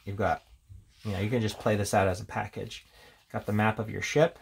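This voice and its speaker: A man giving a casual, unscripted walkthrough as a tabletop game reviewer, talking in a rambling, halting way with casual enthusiasm. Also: on the low side, vocal fry. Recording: steady background noise, handling clicks.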